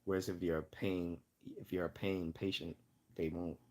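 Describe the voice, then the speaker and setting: A man hosting a casual livestream Q&A, chatting and rambling as he loses his voice and keeps clearing his throat. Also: sits low, flat delivery, normal speed. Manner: rambling, chatty, laughs often. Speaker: livestream host.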